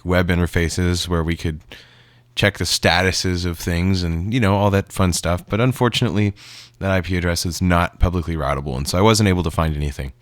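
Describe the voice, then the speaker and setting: A man's voice: low voice, dry recording, studio quality. A man giving a casual, conversational explanation to viewers in the manner of a hobbyist video creator.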